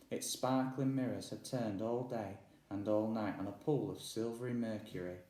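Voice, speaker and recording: A man's voice: fairly low pitch, dark tone. A man reading a children's story aloud as narrator. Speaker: audiobook reader. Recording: roomy echo, distant microphone.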